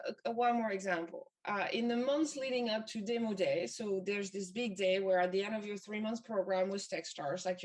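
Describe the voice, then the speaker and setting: A woman's voice: medium pitch, far from the mic. A woman from France with a French accent, speaking English as a second language, telling a story in a motivational talk and often repeating words and using fillers. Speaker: event speaker.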